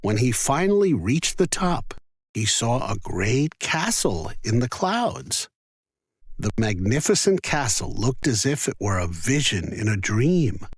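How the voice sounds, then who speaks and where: Slow, animated male fairy-tale storyteller. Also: medium pitch, husky, no echo, clean studio sound. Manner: expressive, careful storytelling. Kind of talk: scripted children's fairy-tale narration.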